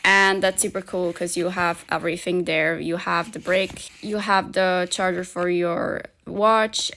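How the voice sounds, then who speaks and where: A woman's voice: on the low side, creaky, a bit bright, slight background noise. A woman in her twenties whose first language is Slovak, chatting upbeat to her phone camera in a casual day-in-the-life vlog from her living room. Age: 20s. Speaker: vlogger and software engineer. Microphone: phone camera at arm's length.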